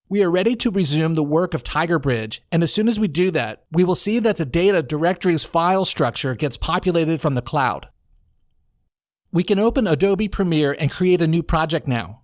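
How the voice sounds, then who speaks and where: An American man reading a scripted software tutorial as a voice-over narrator, in a measured, instructional way. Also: a little high, no echo, studio quality. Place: home studio.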